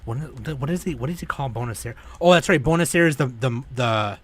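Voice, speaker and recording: Animated male podcast guest. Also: medium pitch, fast talker. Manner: animated storyteller who slips into impressions. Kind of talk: casual podcast chat.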